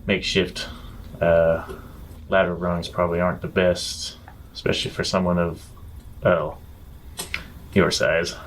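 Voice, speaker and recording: A man with a fairly low voice plays a tabletop roleplaying game. He phrases things casually and with some hesitation, over a faintly noisy background. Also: flat delivery, measured pace, long pauses. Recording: clear background noise.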